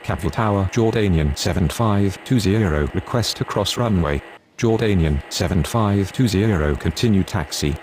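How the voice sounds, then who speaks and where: Casting: male actor playing a businesslike aviation radio man for a game, low register, clipped phrasing, slight background noise. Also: moderate pace, a little mellow, natural voice. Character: male pilot and controller on airport radio, businesslike. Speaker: air traffic radio voice in a mobile game.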